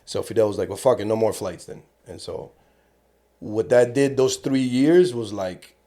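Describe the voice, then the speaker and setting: A middle-aged actor from Cuba talking casually in a podcast interview, an animated storyteller who swears freely. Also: male voice, fairly low pitch, moderate pace. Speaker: actor guest.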